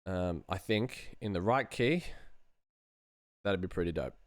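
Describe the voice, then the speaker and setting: A man's voice: deep voice, animated, a little slow, no room sound. A man in his thirties thinking aloud as a sound designer at work, talking casually in short muttered fragments into a close mic. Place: small indoor room at home. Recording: loud music over the voice. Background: loud music.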